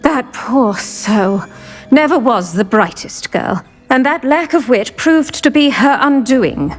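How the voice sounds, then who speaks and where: Tough older witch mentor, blunt n protective, fairly high voice, music faintly under, game dialogue. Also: lively intonation, heavily acted. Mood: blunt, disdainful. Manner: blunt, brisk, assured. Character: stern, protective older woman, retired dark-wizard hunter. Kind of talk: video game character acting.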